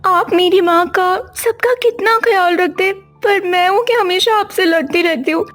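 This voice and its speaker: A woman's voice: extremely high pitch, a little slow, fairly bright tone, faint music behind. A woman voicing Nisha, a talkative young wife who likes to complain, in a cartoon story. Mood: apologetic. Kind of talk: cartoon voice acting.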